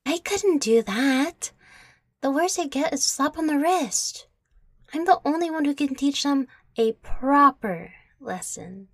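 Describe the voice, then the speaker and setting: Woman voicing young fairy, brash, boastful, unhurried, slightly high husky voice, audio drama. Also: expressive, no echo, studio quality, full character voice. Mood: scornful. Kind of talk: acted audio roleplay.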